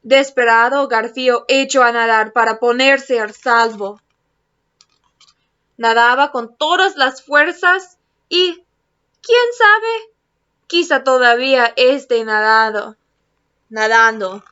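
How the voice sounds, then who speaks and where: A woman teacher reading a children's storybook aloud to students, expressively and deliberately. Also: on the high side, pushed and bright, clean tone, highs cut off. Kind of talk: children's storybook read-aloud in Spanish and English.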